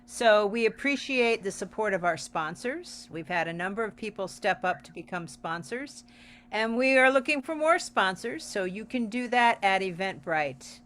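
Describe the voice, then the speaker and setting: A woman's voice: mid-range voice, expressive, moderate pace, a bit bright. A woman organizer giving an informal announcement on a video call. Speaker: festival organizer. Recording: faint noise on video call.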